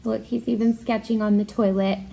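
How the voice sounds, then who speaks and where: A woman teacher with an expressive, encouraging manner, reading a picture book aloud and talking directly to young pupils. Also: a little high, fairly quick, gravelly, rough recording.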